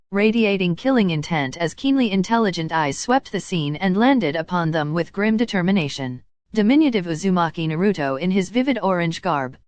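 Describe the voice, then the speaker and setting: A woman with a slightly low voice reads scripted fiction as a narrator. The recording is dry and close, with very little room sound. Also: no echo. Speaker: audiobook reader of fan fiction.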